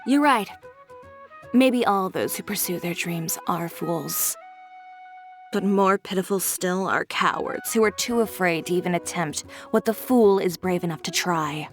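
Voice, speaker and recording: An actress playing a stern, self-assured young fashion designer in a video game, composed and blunt. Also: female voice, lowish voice, lively intonation, slow pace, soft background music. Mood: stern resolve.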